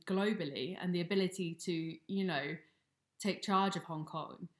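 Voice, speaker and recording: A young woman co-hosting a casual interview. She thinks out loud in a musing way and uses plenty of fillers. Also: lowish voice. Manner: thoughtful and musing, with frequent fillers. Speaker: podcast co-host. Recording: echoey room.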